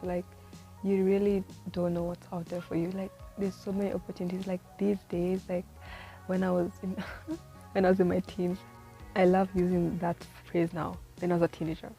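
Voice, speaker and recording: A woman's voice: lowish voice, level tone, normal speed, warm tone, faint music behind. A twenty-year-old woman vlogging casual life advice, rambling and chatty with lots of "like" and "you know", often laughing at herself. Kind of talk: casual rambling monologue to camera. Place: in the city where she lives.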